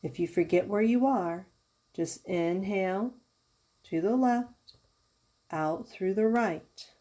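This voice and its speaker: A woman leading a guided yoga nidra meditation as a teacher, giving soothing, unhurried instructions with pauses. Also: mid-range voice, dark tone. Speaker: yoga therapist guiding a meditation.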